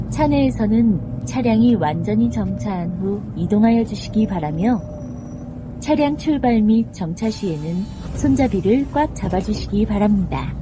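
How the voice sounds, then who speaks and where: A woman reading scripted bus stop announcements in a formal, carefully enunciated way. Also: mid-range voice. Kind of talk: scripted public transit announcement. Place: played over speakers inside a moving bus. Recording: noisy recording of bus cabin speakers. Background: loud vehicle and road noise.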